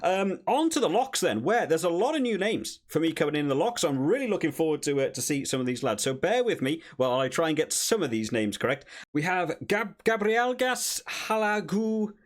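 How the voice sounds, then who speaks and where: A man's voice: fairly high pitch, sing-song, brisk, hardly any pauses. A man hosting his own rugby channel, talking through a team list in a casual, upbeat and chatty way. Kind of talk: casual sports commentary to camera.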